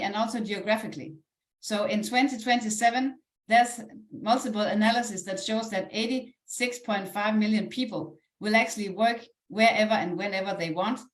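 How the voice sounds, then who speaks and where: A woman with a Danish accent giving a live online motivational sales presentation in an earnest, encouraging, conversational way. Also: medium pitch. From Denmark. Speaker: online presenter, network marketing ambassador. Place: indoors, echoey room, live stream. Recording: roomy, echoey live online call.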